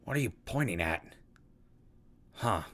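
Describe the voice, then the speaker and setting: An actor playing a weary but caring wasteland ghoul who hosts a radio show, talking in casual, sardonic asides in a radio drama. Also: male voice, on the low side, animated, measured pace, fairly bright tone, dry recording. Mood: weary, matter-of-fact. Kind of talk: post-apocalyptic radio drama.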